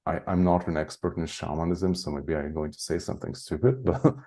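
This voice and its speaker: Man, deep and dark-toned, loose rambling interview guest who chuckles often. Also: fairly quick. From Hungary. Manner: rambling, heavy use of "like", frequent chuckles. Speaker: guest, spiritual channeler. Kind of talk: casual interview chat.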